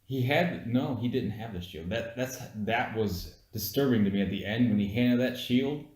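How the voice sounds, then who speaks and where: A man's voice: medium pitch, normal speed, a little mellow. A man in a casual chat as an amateur reviewer, explaining things insistently and with some swearing. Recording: roomy, echoing room sound.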